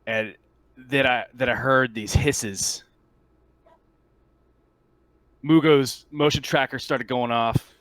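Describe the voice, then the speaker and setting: Halting, shaken marine played by a man, close and dry. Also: medium pitch, steady tone, a bit bright, no echo, natural voice, rough recording. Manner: halting, stammering, incredulous testimony. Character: shaken male marine soldier recounting a disastrous mission. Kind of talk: improvised tabletop roleplay monologue.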